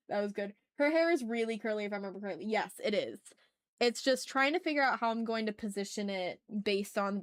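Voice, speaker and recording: A woman hosting a casual art livestream, thinking aloud as she draws and chatting to viewers in informal, slangy, effusive language. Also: mid-range voice. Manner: thinks aloud while working. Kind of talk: casual livestream chat while drawing.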